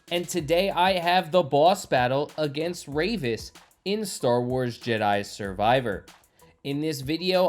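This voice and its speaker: A male game commentator delivers a prepared walkthrough. His phrasing is instructional and orderly, explaining tactics point by point. Faint game music runs underneath. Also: a little high. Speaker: gaming YouTuber. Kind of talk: scripted walkthrough commentary with tips.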